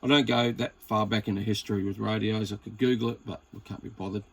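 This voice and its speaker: Laid-back Australian man over clear background noise. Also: on the low side, steady tone, quick pace, a bit bright. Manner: rambling, laid-back, hesitant phrasing. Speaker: radio hobbyist presenting a video. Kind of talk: casual chat while demonstrating equipment.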